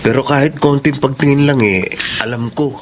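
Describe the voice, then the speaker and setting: A man's voice: medium pitch, steady tone, moderate pace, a little hiss or hum, close to an everyday voice. An actor with a Filipino accent playing a lovesick, insistent young man pleading with his former lover in a radio drama. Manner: pleading, persistent questioning. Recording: radio drama over loud night insect ambience.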